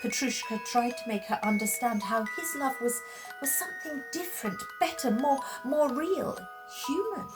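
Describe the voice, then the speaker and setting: A woman reading a children's tale as a storyteller, in dramatic, expressive narration with deliberate phrasing and pauses. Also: sits high, over music, poor audio.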